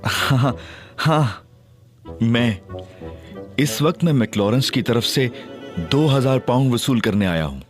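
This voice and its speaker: A man's voice: medium pitch, takes it slowly, pauses often. An actor playing a cocky young male detective who feigns foolishness, narrating in first person in an audio drama. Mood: teasing. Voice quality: lively, teasing and babbling. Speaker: narrator and lead character. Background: music score.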